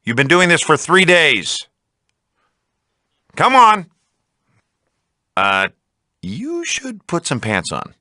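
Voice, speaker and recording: An actor playing a nagging but patient adult in a video game, coaxing and admonishing. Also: male voice, on the high side, wildly swooping pitch, slow pace, pauses often, pushed and bright, no room sound, studio quality, heavily acted.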